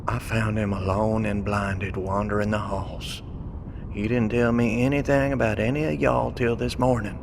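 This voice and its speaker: An actor playing a folksy, plain-spoken survivor who is protective of others, in a naturalistic audio drama. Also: male voice, lowish voice, flat delivery. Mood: urgent, steadying. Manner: folksy, colloquial, drawn-out reassurance. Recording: clearly noisy background ambience.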